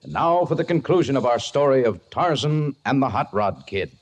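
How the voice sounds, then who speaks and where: A man's voice: medium pitch, animated, moderate pace. A man narrating an old-time radio adventure in a formal, dramatic storytelling style. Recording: vintage radio, loud music underneath. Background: loud music.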